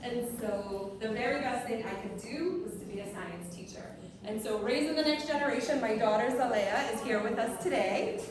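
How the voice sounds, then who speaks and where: Woman, slightly high voice, chatty conference presenter, heard from a distance over a crowd. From Trinidad and Tobago. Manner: chatty, asks the audience questions. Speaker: district STEM director presenting. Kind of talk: conference presentation with audience questions. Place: conference hall. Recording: echoey hall, mic far, crowd behind.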